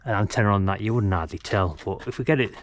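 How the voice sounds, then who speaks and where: A man's voice: fairly low pitch, fast, slight background noise. A middle-aged British man giving a casual hands-on DIY tutorial, rambling and muttering asides as he works. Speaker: DIY vlogger demonstrating antenna mast installation. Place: outdoors by a brick house wall.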